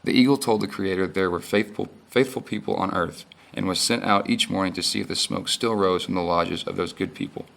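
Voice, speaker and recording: Man, student reader, slow solemn reading, very deep voice, gravelly. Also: fairly bright tone. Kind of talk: reading a creation story aloud.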